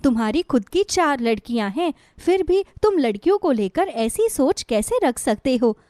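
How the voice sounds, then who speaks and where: A woman plays a poor mother from a bedtime moral tale. She speaks earnestly and chides gently, in a fairly high voice. The sound is close and dry. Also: sing-song, moderate pace, dry recording. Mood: reproving. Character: poor, gentle, weary mother who sells samosas. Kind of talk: moral-story dialogue.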